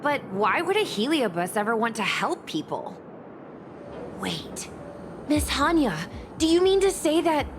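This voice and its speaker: Very high-pitched, earnest young game heroine. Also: female voice, sing-song, unhurried, background noise. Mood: stunned. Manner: earnest, urgent, dramatic. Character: earnest, hot-headed young woman, loyal and bold. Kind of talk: animated video game voice acting.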